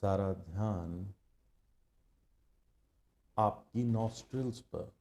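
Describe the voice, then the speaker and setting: Very slow, dark-voiced Indian man guiding meditation. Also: on the low side. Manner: calm, hushed, measured, with long pauses. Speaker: guided meditation instructor. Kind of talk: guided meditation instruction.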